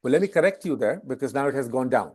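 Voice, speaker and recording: Interview guest, man, non-native English speaker, mid-pitched voice, wry and corrective manner. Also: lively intonation, quick pace, a little mellow, breathy edge. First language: not English. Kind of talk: interview conversation.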